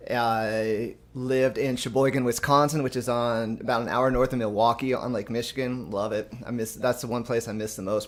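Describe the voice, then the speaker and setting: A man from Texas hosting a live stream and chatting casually. He uses frequent "um" fillers, and his voice sits at an ordinary middle pitch. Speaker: host.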